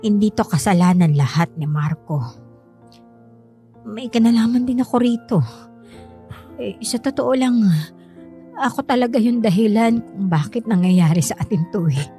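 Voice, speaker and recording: An actress playing a gentle, sorrowful middle-aged mother who pleads softly and hesitantly with her grown son in a radio drama. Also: medium pitch, moderate pace, long pauses, faint music behind, natural voice. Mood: guilty. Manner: soft, pleading, hesitant confessions.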